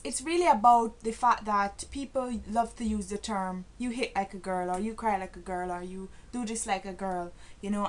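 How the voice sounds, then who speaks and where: A Jamaican woman talking casually to camera, earnest and full of fillers and restarts, growing emphatic and preachy as she goes. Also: medium pitch. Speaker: vlogger.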